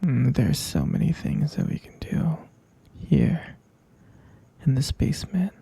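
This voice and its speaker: A man's voice: big pitch swings, takes it slowly, warm tone, dry recording, exaggerated voice. A man playing an obsessive, doting captor boyfriend in an intimate ASMR roleplay, murmuring and whispering in a soothing, possessive, cooing way. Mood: soothing, obsessed. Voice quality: breathy whisper with low gravelly murmur. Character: obsessive, doting yandere boyfriend holding his lover captive.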